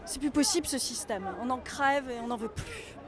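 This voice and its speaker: A woman's voice: sits high, lively intonation, normal speed. A woman giving an off-the-cuff street interview as an indignant protester, emphatic and repeating herself. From Nancy, France. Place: outdoors in the street amid a demonstration. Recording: loud crowd and street noise. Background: crowd and city noise.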